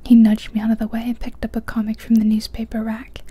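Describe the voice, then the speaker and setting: A woman whispering a first-person story in a soft, steady ASMR reading. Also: a little high, quick pace, breathy edge.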